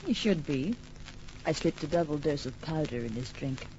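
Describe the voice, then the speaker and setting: Low-voiced, expressive femme fatale. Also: female voice, moderate pace, background noise. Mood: cool, sly. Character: seductive femme fatale decoy, smooth and duplicitous. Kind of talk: old-time radio crime drama acting. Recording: muffled old radio recording with music.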